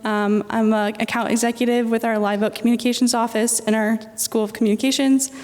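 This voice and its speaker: A young woman in her twenties, originally from Chile, talks on a student panel in an easy, filler-heavy way. Her voice sits fairly high. It carries a long reverb, as if heard in a large hall. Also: flat delivery, fairly quick, a little mellow. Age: 20s. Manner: conversational, frequent um fillers. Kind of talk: panel discussion.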